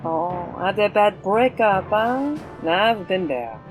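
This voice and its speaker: A woman's voice: medium pitch, expressive, takes it slowly, faint music behind. A woman acting a haughty swashbuckling heroine, wry and commanding, in an audio drama. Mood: teasing.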